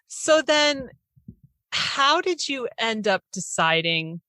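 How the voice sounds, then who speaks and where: A woman's voice: on the high side, sing-song, slow, pushed and bright, no room sound. A woman hosting a podcast interview in a casual, conversational way.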